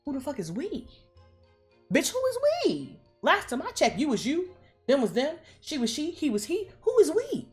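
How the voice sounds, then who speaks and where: A woman's voice: medium pitch, expressive, normal speed, pauses often, faint music behind, natural voice. A woman putting on a foul-mouthed, alarmed older scientist mother, blunt and scolding, repeating herself in a comic retelling. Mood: indignant.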